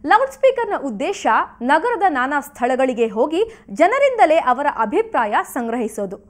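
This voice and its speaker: Animated, very high-pitched woman presenter. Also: normal speed, faint music behind. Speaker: news programme presenter. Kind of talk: scripted TV news introduction.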